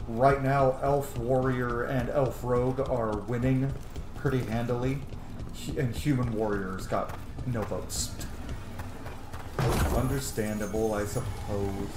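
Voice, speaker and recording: A man's voice: medium pitch, fairly even intonation, slow pace. Man gaming in an echoey, noisy room. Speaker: video game streamer. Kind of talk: casual let's play commentary. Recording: roomy echo, loud background game noise.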